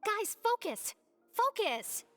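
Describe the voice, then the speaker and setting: A woman's voice: high-pitched, animated, normal speed, a bit bright. An actress playing an anxious, stern young female researcher in a video game, a no-nonsense taskmaster with an urgent manner. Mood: alarmed, scolding. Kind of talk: animated game voice acting.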